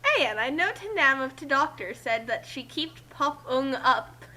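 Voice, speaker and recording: A woman's voice: high voice, big pitch swings, measured pace, pushed and bright. A woman giving casual, joking commentary with sarcastic interjections.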